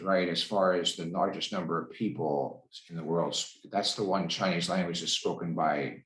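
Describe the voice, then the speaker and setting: A man hosting a church interview series over a video call, affirming his guest and repeating words of praise. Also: sits low. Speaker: host of church discussion series. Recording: echoey room.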